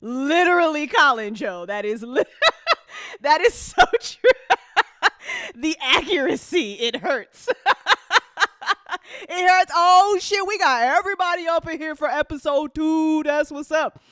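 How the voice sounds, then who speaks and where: A woman hosting a live-stream listen party, chatting casually and excitably with her audience, giggly and quick to swear. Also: high voice, no echo, studio quality. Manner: hype-building, talks directly to chat. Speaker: host of a podcast listen party.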